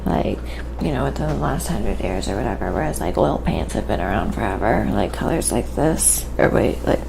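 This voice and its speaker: A soft-spoken woman live-streaming a quiet ASMR painting tutorial, chatting casually and sometimes dropping to a whisper. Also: rumbling low, lively intonation, moderate pace, creaky, noisy background.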